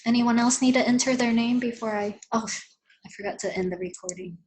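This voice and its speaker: A woman's voice: a little high, fairly quick, darkish tone, a little hiss or hum, rough recording. A woman who grew up speaking Vietnamese, hosting a therapy training webinar in a friendly, encouraging manner over a video call. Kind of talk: webinar wrap-up chat.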